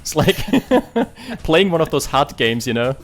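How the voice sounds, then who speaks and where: A man casting a strategy game final in a lively, joking way, quick to react to big plays. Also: fairly high pitch, soft background music. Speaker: esports caster. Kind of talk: casual game commentary.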